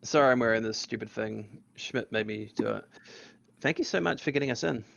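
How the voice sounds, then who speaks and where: A man's voice: on the low side, a little fast, vocal fry, fairly bright tone, no echo. A man reading the part of an awkward young man in an amateur podcast table read, earnest and rambling, on a dull lo-fi mic.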